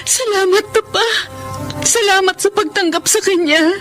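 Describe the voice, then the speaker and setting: A woman's voice: very high-pitched, moderate pace, fairly bright tone, soft background music. An actress playing a defiant, devoted young woman in love, earnest and emotional, in a Tagalog radio drama. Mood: tearful, pleading.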